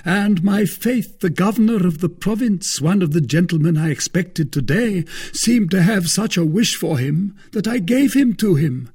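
A man acts out a big, vain storybook gentleman. He speaks high and emphatic, with huge swoops of pitch. He is pompous, hearty and pleased with himself, with a slightly rough edge. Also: animated, moderate pace, few pauses. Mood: boastful.

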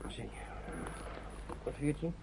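An actor playing a blunt but helpful soldier with an army truck, talking colloquially in a radio play. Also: male voice, very slow, background noise. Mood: uneasy, pondering. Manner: blunt, colloquial, repeating words. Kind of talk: naturalistic radio drama acting.

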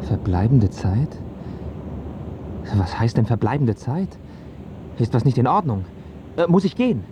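A man's voice: mid-range voice, big pitch swings, a little slow, noisy background. An actor playing a brooding, lovesick young man in a radio drama, earnest and declamatory. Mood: anxious, confused. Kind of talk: German radio drama acting. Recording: dull audio over music.

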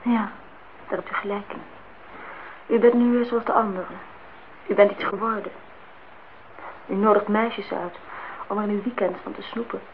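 A woman's voice: mid-range voice, steady tone, normal speed, long pauses, natural voice. An actress playing an indignant, disillusioned young woman in a Dutch radio play, outspoken and reproachful as she speaks her mind. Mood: wry, probing. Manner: reproachful, talks in long outpourings. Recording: dull, noisy old radio play recording.

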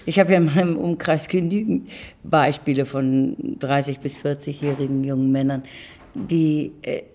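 A woman's voice: sits low, fairly even intonation, normal speed, warm tone. An older woman giving reflective, conversational interview answers, speaking emphatically with opinions and repeated words. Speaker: interview guest.

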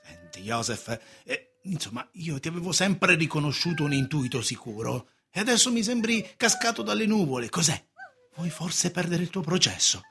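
An actor playing an agitated, domineering middle-aged uncle in a radio drama, with an emphatic, scolding theatrical delivery. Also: male voice, a little high, big pitch swings, normal speed, gravelly, sharp tone, full character voice. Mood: dismayed, scolding.

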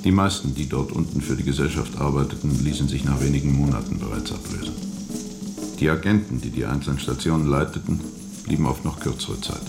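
An actor playing a world-weary steamboat captain who tells of his journey gravely and reflectively, with heavy pauses, in a radio drama. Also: very deep voice, loud music behind the voice. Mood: terse, reflective. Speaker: narrator and lead character.

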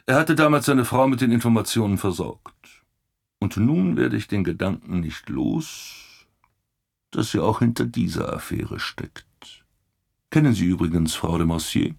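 Grumbly police commissioner, sardonic and colourful, radio drama style. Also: male voice, fairly low pitch, animated, a little slow, gravelly, exaggerated voice. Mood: suspicious, confiding. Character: blunt, grumbling, gossipy male police commissioner. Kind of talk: German radio play acting.

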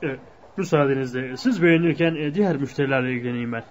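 A man's voice: medium pitch, quick pace, slight background noise, natural voice. A man playing a polite, businesslike shop attendant in a radio drama, formal in manner. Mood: courteous. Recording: dull lo-fi radio recording.

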